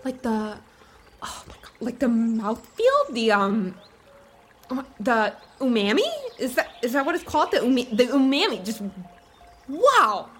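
A woman playing a gushing, name-dropping foodie in a comedic audio drama, halting and over-excited. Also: a little high, faint music behind. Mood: overwhelmed delight.

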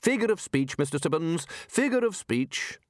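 A man's voice: on the high side, very animated, normal speed, no room sound, voice pushed up. A senior male wizard, voiced by a man, blusters in a lifted, swooping voice. He is flustered and hasty, doubling back on his own words like a storybook leader out of his depth, in a dry recording. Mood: nervous, placating. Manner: hasty, blustering, placating, repeats himself. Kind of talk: audiobook character voice.

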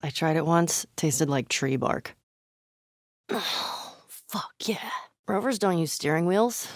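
A woman's voice: low voice, expressive, takes it slowly, no room sound, studio quality, exaggerated voice. An actress playing a tough, wry, foul-mouthed young American woman in a video game, with a casual, muttering, sardonic delivery. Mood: wry, playful. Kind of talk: naturalistic video game voice acting.

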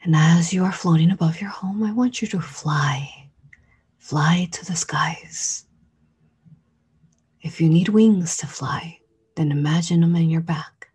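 A woman leading a guided meditation in a soothing, hypnotic way, with drawn-out, repeated phrases and a breathy voice that often drops into a whisper. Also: on the low side, darkish tone.

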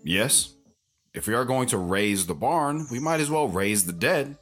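A man playing a gruff, sardonic farm brother with dry deadpan delivery in an audio drama. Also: mid-range voice, a little slow, soft background music. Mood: wry, matter-of-fact.

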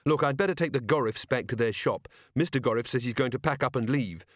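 An earnest young officer of the watch, voiced by a male story reader. He is polite and sincere, speaking plainly at a medium pitch. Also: fast talker, no echo, clean studio sound, lightly acted.

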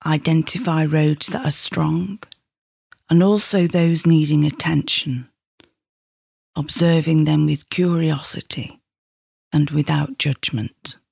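A middle-aged woman leading a guided meditation in a soothing, measured, instructing manner. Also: sits low, fairly even intonation, gravelly, darkish tone, slightly rough, dry recording, clean studio sound.

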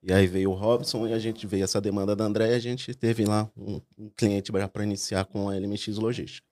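A man who works in operations chatting on a business podcast in a relaxed, joking way. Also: on the low side, brisk, a little hiss or hum. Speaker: operations partner. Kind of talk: casual podcast chat.

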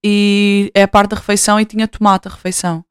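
A woman's voice: mid-range voice, flat delivery, fairly quick, smooth, no echo, studio quality. A woman in her twenties with a European Portuguese accent, hosting a podcast in casual chat, rambling, swearing freely and mocking herself. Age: 20s. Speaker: podcast host.

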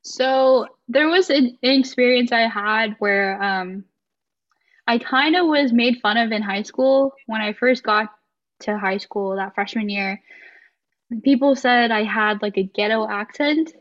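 A woman's voice: fairly high pitch, unhurried. Young woman on a podcast, hesitant, lots of ums, kinda muffled audio. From United States. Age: 20s. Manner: thoughtful, hesitant, many fillers. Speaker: podcast guest. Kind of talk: casual podcast conversation.

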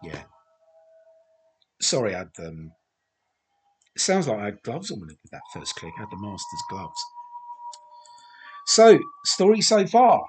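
A man hosting a podcast, chatting through a TV commentary with a slightly croaky voice, rambling and hesitant with many fillers and restarts, self-deprecating. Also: lowish voice, wildly swooping pitch, a little slow, pauses often, darkish tone, soft background music, rough recording. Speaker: podcast host. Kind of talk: casual film commentary.

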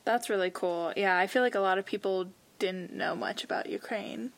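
A woman's voice: medium pitch, normal speed, vocal fry. A woman co-hosting a podcast in casual chat, upbeat and chatty as she asks questions. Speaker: podcast co-host.

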